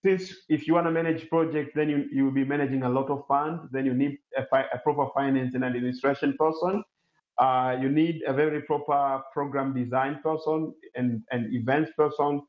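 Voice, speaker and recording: A man's voice: medium pitch, fairly even intonation. Swahili-speaking man presenting a webinar. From Tanzania. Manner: halting, many fillers and restarts. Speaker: webinar presenter, incubator CEO. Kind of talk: online presentation with Q&A. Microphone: laptop mic on a video call. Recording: roomy, dull webinar audio.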